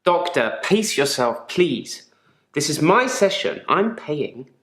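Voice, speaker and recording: A man's voice: on the high side, sing-song, slow pace, fairly bright tone, full character voice. A man playing a troubled gravedigger in therapy for a video game, speaking in a guarded, dryly sardonic, articulate way. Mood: testy. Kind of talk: naturalistic acted monologue in therapy session. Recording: echoey room.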